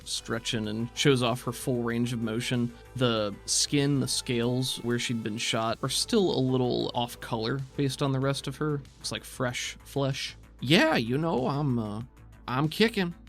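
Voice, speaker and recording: A game master narrating scenes and explaining rules in a measured, casual way. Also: mid-range voice, fairly even intonation, faint music behind.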